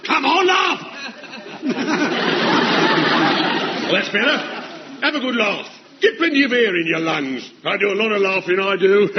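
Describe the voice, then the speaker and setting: A man's voice: high voice, dull audio, background noise. An actor playing a brash, mocking army drill sergeant who barks orders and laughs at his own jokes. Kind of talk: sitcom acting.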